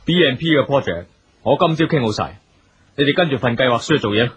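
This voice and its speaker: Suspicious eldest-brother boss, Hong Kong Cantonese accent, rough husky voice, animated pitch, curt, scornful, radio drama, dull recording. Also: male voice, fast. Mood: scornful, commanding. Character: domineering, suspicious eldest brother, general manager in a wheelchair.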